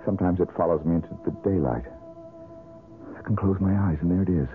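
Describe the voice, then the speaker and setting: An actor playing a bitter, brooding, tormented painter in 1970s radio drama style, sardonic and given to dramatic pauses. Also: male voice, low-pitched, close to an everyday voice. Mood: brooding, haunted. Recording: narrow-band old radio recording, music.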